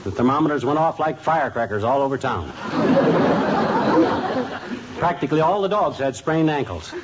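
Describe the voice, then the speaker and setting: An actor playing a boastful husband who spins tall tales in a 1950s radio comedy. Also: male voice, medium pitch, sing-song, moderate pace, over a noisy crowd, close to an everyday voice. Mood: bluster. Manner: blustery, tall-tale boasting. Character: boastful blustering husband, tall-tale teller. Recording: dull old radio transcription with hiss.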